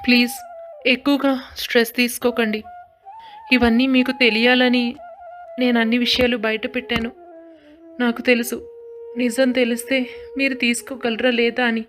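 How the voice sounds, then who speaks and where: A woman's voice: fairly high pitch, level tone, moderate pace, long pauses, fairly bright tone, faint music behind, close to an everyday voice. A woman playing a caring, composed young wife in a scripted audio story drama. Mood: gentle, reassuring.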